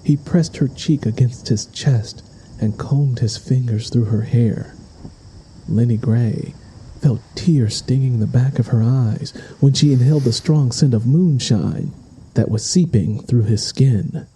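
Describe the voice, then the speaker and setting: A man's voice: mid-range voice, unhurried, warm tone, slight background noise, low-quality recording. A man reading scripted, dramatic narration for an audio drama. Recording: music behind the voice. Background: music.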